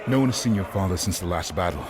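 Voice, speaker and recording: An actor playing a dutiful army officer in a fantasy game, speaking briskly and firmly. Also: male voice, sits low, lively intonation. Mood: concerned. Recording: outdoor ambient noise behind.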